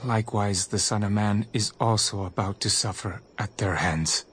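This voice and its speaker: An actor playing Jesus as a solemn, authoritative teacher, speaking in a measured way in a dramatized scripture reading. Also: male voice, lowish voice, a bit bright, husky. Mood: solemn, calm. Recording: music behind the voice.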